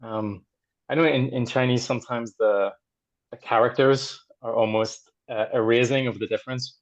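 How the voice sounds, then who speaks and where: A man from Ireland, a translator on a panel, answering thoughtfully with many pauses and restarts over a video call. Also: mid-range voice, steady tone, low-quality recording. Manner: hesitant, many ums and restarts. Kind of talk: conversational answer in a panel discussion.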